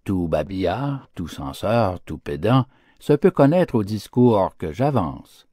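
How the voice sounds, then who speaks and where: A low-voiced man narrates fables for an audiobook. His delivery is slow and theatrical, with richly varied intonation and a declamatory manner. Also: dry recording, clean studio sound. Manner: declamatory, expressive.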